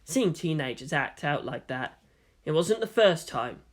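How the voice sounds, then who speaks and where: A man's voice: a little high, animated, a little slow. A man narrating a story from a script as a fan fiction reader.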